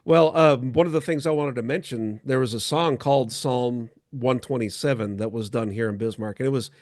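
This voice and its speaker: Man, mid pitch, chat show host, casual, halting, unscripted, dry recording. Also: a little mellow, no room sound.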